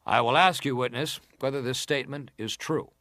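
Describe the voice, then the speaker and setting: A man acting as a senator questioning a witness at an inquiry, formal, deliberate and probing, in a dramatized reading of testimony. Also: medium pitch, pushed and bright, no room sound, studio quality, natural voice.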